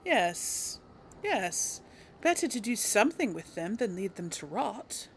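A woman's voice: medium pitch, very animated, takes it slowly, a little hiss or hum. A woman casually commenting on a game she plays, musing and thinking aloud. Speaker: gaming video host and player. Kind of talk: casual let's play commentary.

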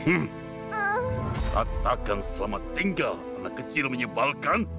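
An actor playing an arrogant, sneering evil wizard in a dubbed children's cartoon. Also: male voice, medium pitch, very animated, a little slow. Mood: sneering, gloating. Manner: sneering, threatening. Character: menacing, arrogant adult male evil wizard. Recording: loud dramatic music behind.

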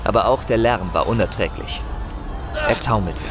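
A man's voice: lowish voice, moderate pace, lightly acted. A man narrating a fantasy audio drama as a grave, intense storyteller, with music and effects behind him. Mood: grave, dramatic.

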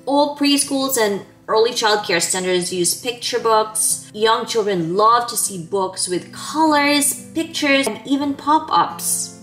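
A woman in her thirties presents scripted teaching tips in a mid-pitched voice. She explains step by step and enunciates clearly, like an educator addressing parents. Music plays behind her. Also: a bit bright. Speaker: early childhood educator giving parenting tips. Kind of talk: scripted instructional presentation to camera. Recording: background music under the voice.

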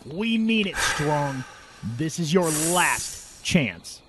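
An actor playing a menacing thug in an audio drama, speaking in a deliberate, intimidating way. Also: male voice, a little high, very animated, background noise, heavily acted. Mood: cold, threatening. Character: menacing male thug delivering cold threats. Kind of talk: audio drama villain acting.

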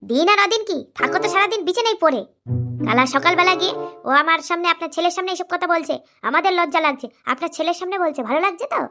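High, rapid-fire scolding housewife played by a woman. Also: expressive, music in the background. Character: angry, sharp-tongued daughter-in-law, a scolding housewife. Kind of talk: amateur comedy cartoon acting.

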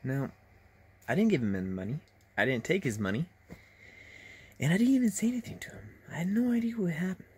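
A young man telling casual stories to camera in an animated, acted-out way, recorded on a phone in a bedroom. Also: fairly high pitch, a little fast, long pauses, breathy edge. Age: young adult. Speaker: vlogger telling personal stories. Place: small bedroom, lying in bed. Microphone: phone at arm's length.

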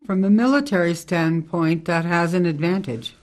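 Woman playing a low-voiced, probing questioner. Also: moderate pace, a little mellow, natural voice. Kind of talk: scripted radio drama acting.

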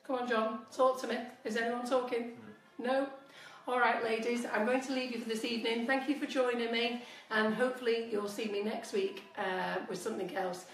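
A chatty woman in her fifties demonstrates cake piping in a home kitchen, speaking straight to her viewers. Her voice is a little squeaky from a cold. The sound is echoey and she often drifts away from the phone mic. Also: steady tone, moderate pace, few pauses, distant mic. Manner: chatty, instructive, addresses viewers directly. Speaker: cake decorating tutor. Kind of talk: live-streamed baking demonstration.

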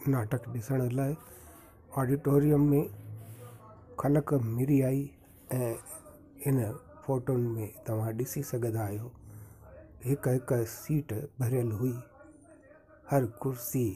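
Narrator, male, very dark and mellow tone, steady formal read. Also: mid-range voice. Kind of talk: scripted narration.

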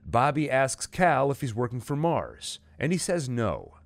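A man's voice: mid-range voice, huge pitch swings, measured pace, gravelly. A man narrating a scripted, dramatized story recap in the manner of a host. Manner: acts out quoted dialogue within narration. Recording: music under the voice. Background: dramatic music and sound effects.

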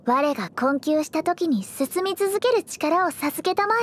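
A sweet teenage girl healer from an anime game. Her voice is extremely high and girlish, with lively, swooping intonation. She speaks politely and earnestly, cheerfully encouraging, over prominent background music. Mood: solemn prayer. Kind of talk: anime game character voice lines.

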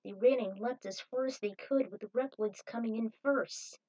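A woman's voice: a little high, normal speed, a little mellow, highs cut off. A woman reading a scripted adventure story aloud as narrator, in a dramatic manner.

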